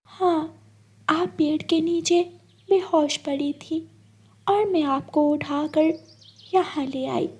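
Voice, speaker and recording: A woman voicing a kind female fairy in a cartoon, speaking in a gentle, soothing manner. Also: sits high, slow, long pauses, voice pushed up. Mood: reassuring.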